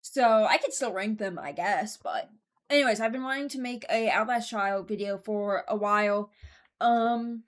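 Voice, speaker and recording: A woman's voice: mid-range voice, normal speed. Female YouTuber for casual ranking commentary; frank, rambling, hesitant, mock-serious. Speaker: YouTuber ranking game voice lines.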